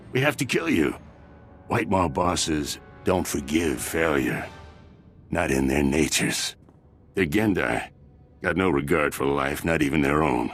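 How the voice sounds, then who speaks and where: An actor playing a ruthless pirate thug in a video game, gravelly and mocking, amused by killing. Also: male voice, very deep voice, a bit bright, full character voice. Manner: menacing, drawling, mocking. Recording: music behind the voice.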